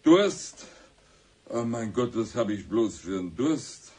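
An actor playing a bumbling, pompous West German chancellor in a satirical radio play. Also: male voice, fairly low pitch, very animated, slow pace, a little mellow, husky, full character voice. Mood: relieved, pompous.

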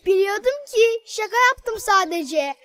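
A woman's voice: very high-pitched, fairly bright tone, no echo, heavily acted. A woman voicing a friendly, teasing little boy in a children's cartoon, with eager, simple childlike speech.

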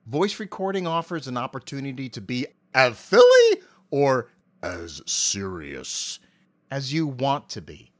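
A middle-aged man speaks as an interview guest in a medium-pitched voice. He is articulate and measured, and his answers sound prepared. He talks into a filtered cardioid mic in a home office. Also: sing-song. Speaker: interview guest, amateur voice actor. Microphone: cardioid dynamic mic with pop filter, on a stand.

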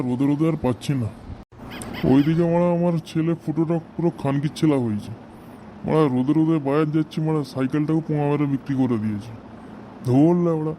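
Man acting a fast-talking, grumbling Bengali village dad. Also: medium pitch, warm tone, a little hiss or hum, close to an everyday voice. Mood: irritated grumbling. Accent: West Bengal Bengali. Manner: grumbling, scolding, heavy cursing. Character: hot-tempered, foul-mouthed village father scolding his lazy son. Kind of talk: amateur comedy cartoon dialogue.

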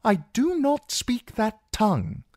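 A man's voice: very high-pitched, wildly swooping pitch, takes it slowly, darkish tone, no echo, clean studio sound, pitched above the performer's own voice, exaggerated voice. A man voicing an anxious, timid young man in an audiobook, earnest and flustered as he pleads. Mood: flustered protest. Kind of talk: audiobook character voice.

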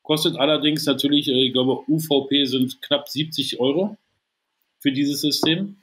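A man's voice: a little high, normal speed, fairly bright tone. A man in his thirties from Berlin, co-hosting a podcast over a video call on a headset. He talks loosely, swears in jest and explains gear eagerly. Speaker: co-host. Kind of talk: casual podcast chat.

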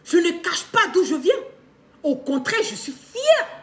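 A middle-aged woman with an Ivorian accent speaks in an extremely high voice with lively, swinging intonation. She delivers an impassioned live-stream commentary from a room at home, hammering points through emphatic repetition. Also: unhurried. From Ivory Coast. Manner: emphatic, repeats phrases for stress, animated with hand gestures. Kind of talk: impassioned, unscripted monologue to camera. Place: indoor living room.

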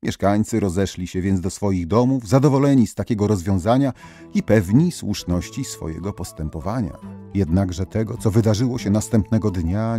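A man narrating scripted folk tales as a storyteller, expressively and with dramatic pauses. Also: lowish voice, mellow.

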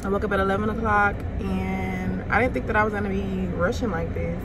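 A woman's voice: mid-range voice, steady tone, a little fast. A young woman in her twenties talking casually to her phone camera while she drives, blunt and profane and laughing often. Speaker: vlogger. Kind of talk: casual vlog chat. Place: inside a car, driving. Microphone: phone camera on the dashboard. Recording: loud car and road noise.

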